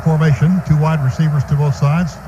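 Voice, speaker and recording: A man's voice: a little high, fairly even intonation, a little fast, lo-fi sound. A man with an American accent calling a football game live as a radio play-by-play announcer. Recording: noisy live stadium radio broadcast. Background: loud stadium ambience.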